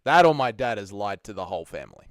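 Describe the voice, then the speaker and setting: A young Australian man hosting a live stream, chatting with viewers in excitable, slangy, sweary banter. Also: lowish voice, fast talker, clear, resonant tone, dry recording, studio quality. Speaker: streamer host.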